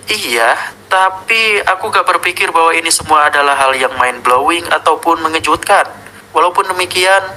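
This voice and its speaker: Man playing skeptical young channel owner, lowered pitch, confiding, matter-of-fact, first-person horror tale, noisy background. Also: bright, forceful tone. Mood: dismissive, curious. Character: skeptical young video channel owner, dismissive but curious.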